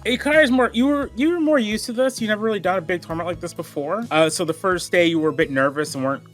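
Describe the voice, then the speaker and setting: Guy game mastering, kinda high voice, loose hesitant narration, soft music in back. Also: fast talker. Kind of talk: casual improvised game narration.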